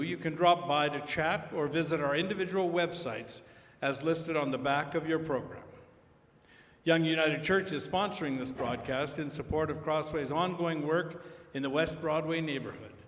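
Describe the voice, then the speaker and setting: A man's voice: fairly high pitch, normal speed. A man presenting a prepared sponsor message at a live event in a large hall. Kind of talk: prepared scripted announcement. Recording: echoey hall with long reverb.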